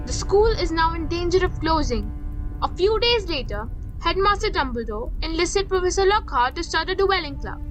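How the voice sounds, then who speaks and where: A woman's voice: very high-pitched, rough recording. A woman narrator reading a scripted story recap.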